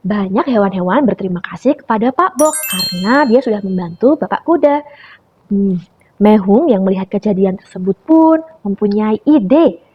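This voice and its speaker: A young woman telling a story to preschool children in an animated way, often turning to her listeners. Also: medium pitch, normal speed, breathy edge. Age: young adult. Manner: animated, calls listeners "friends". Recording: dull lo-fi recording.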